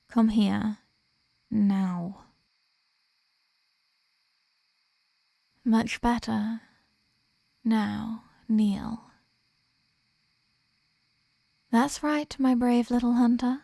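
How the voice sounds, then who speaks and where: A woman voicing a sadistic, dominant vampire in an ASMR roleplay, teasing and commanding, often dropping into breathy half-whispers. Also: a little high, dark tone, no echo, heavily acted. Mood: commanding, menacing. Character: sadistic, dominant female vampire, seductive and possessive.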